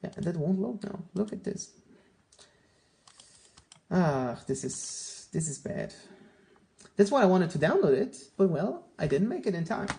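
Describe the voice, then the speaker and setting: A man's voice: high voice, sing-song, unhurried, gravelly, long pauses, mellow. A man whose first language is Polish hosts a casual live stream. He rambles with many fillers and restarts and greets chat viewers by name. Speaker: livestream host. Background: music playing.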